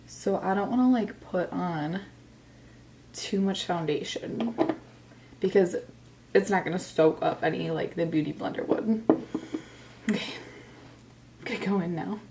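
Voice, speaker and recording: A woman in her twenties chatting casually through a makeup first impression, a little hoarse from being sick, with lots of filler words. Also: fairly low pitch, measured pace, pauses often, background noise. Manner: chatty, self-deprecating, lots of "like". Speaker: beauty vlogger. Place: bedroom, indoors.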